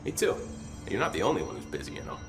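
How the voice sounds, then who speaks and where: A man's voice: fairly low pitch, wildly swooping pitch, rapid-fire, music in the background, low-quality recording. A man acting as an easygoing, blunt security officer who swears casually and talks wryly, in a video game. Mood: dry, teasing. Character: easygoing, foul-mouthed male security officer, blunt and wry.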